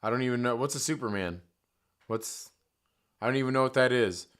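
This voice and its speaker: Man, hoarse, joking, profane live-stream chatter, bright tense tone. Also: medium pitch, brisk. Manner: profane, joking, rambling, reads chat aloud. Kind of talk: casual live stream chat.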